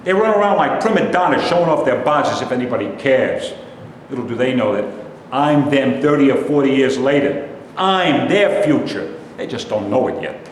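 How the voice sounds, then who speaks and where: A man's voice: lively intonation, normal speed, natural voice. A man playing a bitter, griping, foul-mouthed older army veteran in a staged play reading. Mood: angry contempt. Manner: aggrieved, profane ranting. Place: indoor live staged reading. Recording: echoey hall, distant mic, rumbling noise.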